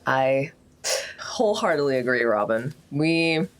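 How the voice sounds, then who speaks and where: A man's voice: mid-range voice, animated, unhurried. A man chatting casually as a player in a tabletop roleplaying game, wry and agreeable. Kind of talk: casual tabletop actual-play chat.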